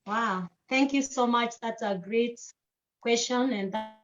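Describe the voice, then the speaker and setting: A woman from Kenya, explaining earnestly and circling back over her points. Her voice sits somewhat high, and the recording sounds a little muffled and dull. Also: slow pace. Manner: earnest, repetitive, with many restarts. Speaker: guest speaker. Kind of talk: panel talk answering questions.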